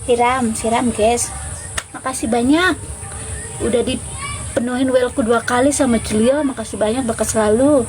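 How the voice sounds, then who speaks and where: A woman's voice: fairly high pitch, steady tone, normal speed, darkish tone. A woman chatting casually and informally with viewers as the host of a live stream. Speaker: livestream host.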